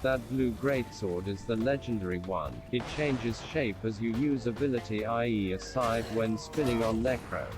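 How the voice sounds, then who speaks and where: A man's voice: medium pitch, normal speed, few pauses. A synthetic man's voice reading typed chat messages aloud in a literal way. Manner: emojis read out literally. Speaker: text-to-speech chat reader. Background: game music.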